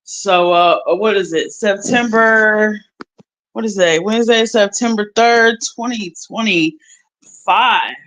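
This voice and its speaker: A young adult woman, chatting casually on a livestream, recovering from strep throat, drawing words out with slang and loud bursts. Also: medium pitch. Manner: slow, drawn-out talk with loud bursts and slang. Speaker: YouTube streamer.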